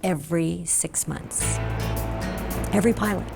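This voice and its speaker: A woman pilot explains her work in interview style. Her voice sits on the low side, with music clearly audible behind her. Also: unhurried. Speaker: airline pilot.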